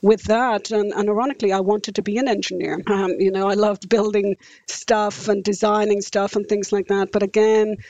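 A woman's voice: mid-range voice, flat delivery, normal speed, warm tone, no echo, dull audio. A woman in her fifties from rural Ireland with an Irish accent, a guest in a podcast interview who often repeats words and restarts her sentences. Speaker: interview guest, engineering company director.

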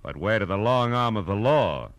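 A man's voice: fairly low pitch, animated, moderate pace, heavy background noise, lightly acted. An actor playing a tough, world-weary male private detective in an old radio drama, with wry, clipped delivery. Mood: brooding narration. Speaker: lead actor and first-person narrator of a detective radio drama. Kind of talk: hard-boiled 1940s-50s radio acting, scripted narration. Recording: vintage radio recording with music.

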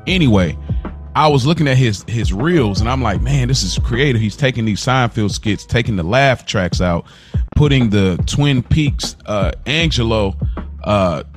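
A man co-hosting a podcast in casual chat, thinking out loud with frequent restarts and circling back to his point. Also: mid-range voice. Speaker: podcast co-host.

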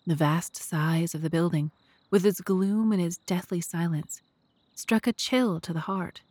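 A woman's voice: fairly low pitch, unhurried, darkish tone, dry recording. A woman narrating an audio drama from a script, in a storytelling style.

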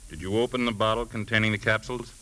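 An actor playing a blunt, probing police lieutenant in 1950s radio drama style, questioning briskly. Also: male voice, fairly low pitch, steady tone, pushed and bright. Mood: brisk, businesslike. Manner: brisk, pressing, clipped questioning. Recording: noisy 1950s radio broadcast recording.

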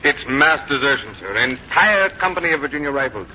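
Dutiful officer, muffled recording. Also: male voice, medium pitch, animated, a little fast, pushed and bright. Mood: urgent, respectful. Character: dutiful, worried military officer reporting to his commander. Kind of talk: 1950s radio drama acting. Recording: muffled, very noisy 1950s radio recording.

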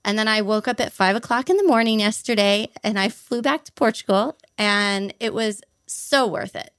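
A young woman from Hawaii tells her story with bright enthusiasm, piling up vivid details as she goes. Her voice sits at an ordinary middle pitch. The recording is close and dry, with no room sound. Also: moderate pace, dry recording. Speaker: podcast guest. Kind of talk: storytelling interview answers.

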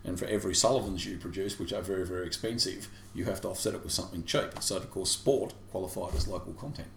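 A man co-hosting a casual chat podcast, digressive and quick to laugh. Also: low-pitched, fairly even intonation, gravelly. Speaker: podcast co-host. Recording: echoey room with faint hiss.

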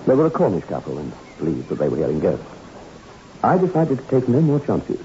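Deep-voiced, earnest grieving father. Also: huge pitch swings, fairly quick, warm tone. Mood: explaining, apologetic. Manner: earnest, confessional, formal. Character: grieving, pleading father guarding his deranged daughter on an island. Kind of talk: 1960s radio drama acting. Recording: muffled, noisy old 1960s radio recording.

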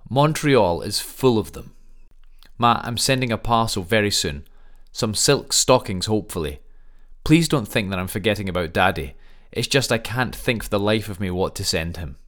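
A man's voice: on the low side, a bit bright. An actor playing a fond, dutiful young wartime airman, earnestly reading out a letter to his mother.

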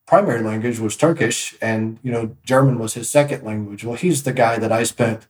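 A man's voice: mid-range voice, fairly quick. Man telling stories in an echoey room. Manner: relaxed, rambling with many restarts and fillers. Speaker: podcast guest, real estate agent. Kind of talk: casual interview storytelling.

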